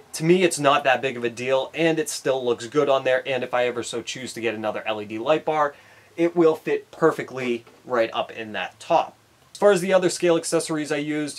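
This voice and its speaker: Male hobby-channel host, thirties, mid-pitched, casual, a touch stuffy-nosed from a cold. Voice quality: slightly nasal from a head cold. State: has a head cold. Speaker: host of an RC truck build channel. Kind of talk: casual presenter talk to camera. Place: small indoor hobby workshop.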